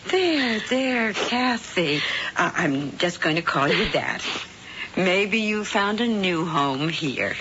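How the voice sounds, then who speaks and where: A woman's voice: fairly low pitch, expressive, slow pace. An actress playing a scheming middle-aged witch who poses as a welcoming landlady, in a 1970s radio drama. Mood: sugary. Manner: sugary and falsely welcoming. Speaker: Missus Warlock. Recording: dull old radio broadcast with faint hiss.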